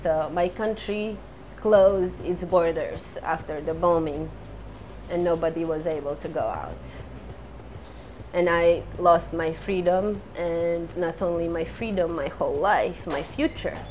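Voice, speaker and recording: Slow, halting Serbian-accented woman. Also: on the low side, a little mellow, slight background noise, low-quality recording. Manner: halting, earnest, many pauses and fillers. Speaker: exhibiting artist. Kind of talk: interview monologue to camera. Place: indoor studio in front of a red backdrop.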